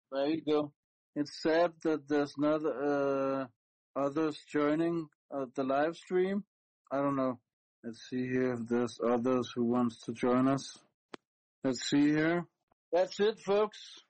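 A Danish man speaking English slowly in a dark, mellow voice. He rambles through a live-stream monologue, halting and full of fillers and restarts. His way of talking is blunt and crude. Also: on the high side, rough recording. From Denmark.

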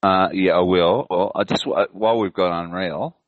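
Man, host, very low voice, gravelly, rambling, dry lo-fi call audio. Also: steady tone, normal speed, dry recording. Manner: loose and rambling, many restarts. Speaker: podcast host. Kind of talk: casual online chat. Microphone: video call.

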